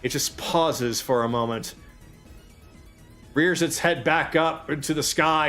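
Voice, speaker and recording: A man's voice: fairly high pitch, a bit bright. A man speaking casually as a game master, narrating action in a tabletop role-playing game.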